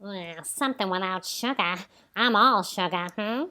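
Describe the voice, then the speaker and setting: Lady doing a grumpy old-time diner guy, lowered voice, slow and curt. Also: sharp tone. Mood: gruff, impatient. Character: gruff, grumpy 1950s diner counterman. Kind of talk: comedic impression at a table read.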